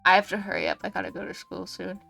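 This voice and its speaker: A young woman streaming a visual novel and chatting casually, giggly and swearing freely, with a voice gone slightly hoarse. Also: mid-range voice, steady tone, quick pace, bright, forceful tone, faint music behind.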